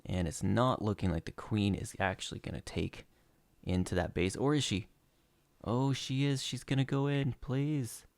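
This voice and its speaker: A young man walks through his game replays with relaxed play-by-play, his low voice gravelly and frayed as he loses it. The sound is dry and close, with no room around him. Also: moderate pace, no echo. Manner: relaxed play-by-play with frequent uh fillers. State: losing his voice. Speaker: gaming channel host. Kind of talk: commentating over gameplay replays.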